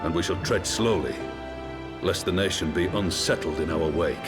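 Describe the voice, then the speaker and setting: A low, grave voice of a devoted nobleman played by a male actor in a fantasy game cutscene. He speaks in measured, courtly phrases, with prominent music behind him. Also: close to an everyday voice. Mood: solemn, resolute. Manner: formal, measured, archaic courtly diction.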